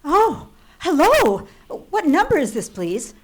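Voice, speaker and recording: A woman's voice: high voice, wildly swooping pitch, slow, full character voice. An actress playing a fretful, demanding woman on the telephone in an old-time radio thriller, agitated and insistent. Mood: horrified. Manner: agitated, insistent, theatrical, stammering with alarm. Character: fretful, demanding, highly strung telephone caller in distress.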